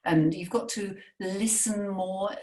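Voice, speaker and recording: A woman's voice: mid-range voice, fairly even intonation, slow, a little mellow, echoey room. A woman who is a language coach, chatting casually and emphatically, often adding "you know" and "right?". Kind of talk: casual podcast chat.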